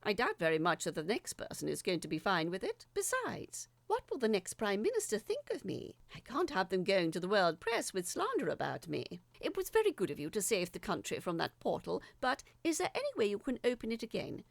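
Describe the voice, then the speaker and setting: A woman's voice: mid-range voice, wildly swooping pitch, fairly quick, gravelly, few pauses, dry recording, full character voice. A woman voicing a haughty, exasperated prime minister ranting through a comic letter of complaint. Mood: exasperated, pleading. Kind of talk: dramatic reading of a comic letter.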